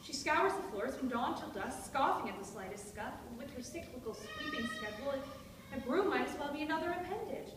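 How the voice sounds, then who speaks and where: A woman's voice: high-pitched, very animated, brisk. Woman acting an anxious widowed mother, echoey hall. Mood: distressed, impassioned. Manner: formal, ornate, melodramatic diction. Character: anxious, theatrical widowed mother and stepmother, frail-nerved. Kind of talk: dramatic stage reading of a period play. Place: hall at a live staged reading. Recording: echoey noisy hall, distant microphone.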